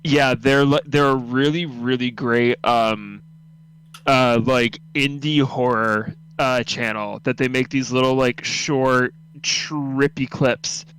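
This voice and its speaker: Smooth-voiced young man, mid pitch. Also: steady tone, slow, fairly bright tone, low-quality recording. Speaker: narrator and writer of the story. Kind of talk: casual stream chat and scripted story narration.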